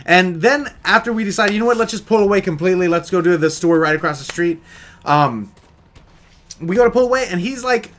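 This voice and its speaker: A man's voice: high voice, animated, brisk, a bit bright. A young American man telling a story in a casual, rambling, jokey vlog style. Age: young adult.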